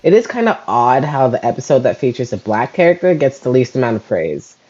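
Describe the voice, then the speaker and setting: A man's voice: medium pitch, big pitch swings, brisk, darkish tone, highs cut off, soft background music. A young man narrating a casual scripted episode review as host, informal and lightly swearing.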